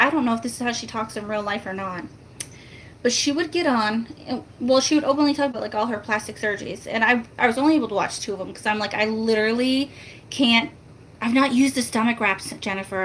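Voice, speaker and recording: A soft-spoken woman, chatting casually and laughing with her viewers in a rambling, self-mocking way. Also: quick pace, slight background noise, poor audio. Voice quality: soft-spoken, high-pitched. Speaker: family vlogger.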